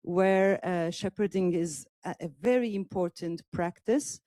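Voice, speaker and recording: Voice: mid-range voice, a little slow, mellow, no echo. A speaker from Turkey, asking questions at a panel debate, hesitant with many fillers. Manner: hesitant, many fillers, non-native phrasing. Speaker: audience member asking questions.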